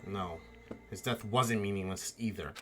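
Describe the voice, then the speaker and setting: A man's voice: fairly low pitch, moderate pace, lightly acted. A man playing an earnest, defiant teenage schoolboy protagonist in a fan dub of a game, reading solemnly. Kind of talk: fan voice-over of a visual novel game. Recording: game music behind the voice.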